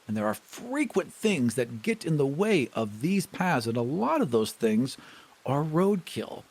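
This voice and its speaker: A man with a husky voice bantering and narrating casually as a player in a tabletop game. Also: on the high side, big pitch swings, a little slow, darkish tone. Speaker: tabletop role-playing player.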